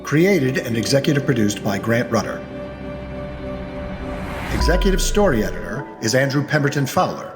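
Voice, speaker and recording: A man's voice: medium pitch, very animated, moderate pace, pauses often, music in the background. A man announcing an audio soap opera in dramatic scripted narration.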